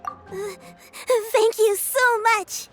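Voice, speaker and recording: A woman's voice: very high-pitched, steady tone, very slow, a bit bright, soft background music, full character voice. A woman voicing a sweet, polite young girl in a video game, earnest and a little hesitant. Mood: shy, grateful.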